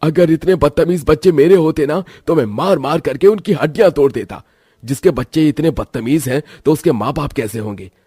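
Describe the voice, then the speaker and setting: Casting: man as formal cartoon businessman guest, raised pitch, very soft dark tone, emphatic. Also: a little fast, breathy edge, no echo, studio quality. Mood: indignant. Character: adult male business guest, polite but easily offended. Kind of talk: Hindi cartoon moral story voice acting.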